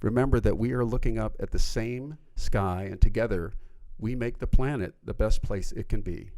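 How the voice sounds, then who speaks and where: A man co-hosting a podcast, chatting casually and often agreeing with a quick "right". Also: lowish voice, mellow. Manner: affirming, says "right" often, reads a quote aloud. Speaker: podcast co-host.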